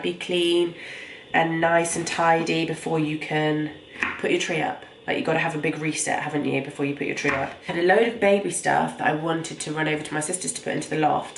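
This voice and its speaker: A young woman with a low, nasal voice, congested from a cold. She chats in a rambling, conversational way as she cooks. Her voice rings around an echoey room, with a faint noise underneath. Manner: rambling, conversational, talks while cooking. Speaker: vlogger. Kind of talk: casual chatty vlog. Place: home kitchen.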